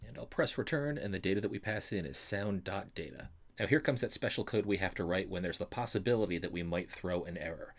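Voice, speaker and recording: A man's voice: low voice, lively intonation, quick pace. A man explaining things step by step as an instructor in a screencast programming tutorial, reassuring and stressing key terms. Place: voice-over for a screen recording.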